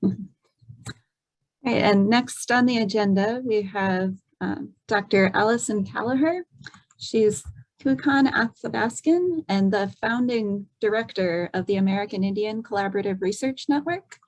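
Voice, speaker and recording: Woman hosting a virtual panel, polite and brisk. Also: medium pitch, low-quality recording. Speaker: session moderator. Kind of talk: introducing speakers. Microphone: video call.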